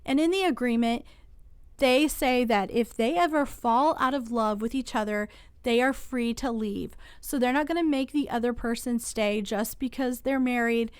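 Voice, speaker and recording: A woman with a slightly high, smooth, resonant voice hosts a casual recap channel while getting over a cold. She talks in a chatty, opinionated way and asks viewers to weigh in. The recording is close and dry. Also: moderate pace, dry recording.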